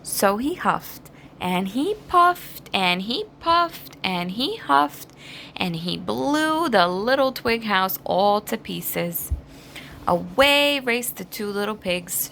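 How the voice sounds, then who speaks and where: Woman storyteller, children's read-aloud, dramatized, slow, highly varied pitch, faint background noise. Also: animated, creaky, bright, forceful tone. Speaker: storybook reader.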